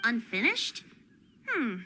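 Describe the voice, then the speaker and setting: Bright, high-voiced playful boy bard. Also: huge pitch swings, takes it slowly, full character voice. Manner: playful, airy, teasing. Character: playful wandering bard god, youthful boy, breezy. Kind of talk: video game voice acting.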